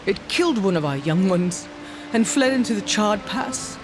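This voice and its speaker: An actor playing an ancient, sorrowful shaman of a stone people in a video game, solemn and measured. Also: high-pitched, expressive. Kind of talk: video game cutscene acting. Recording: clear music behind the voice. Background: game score.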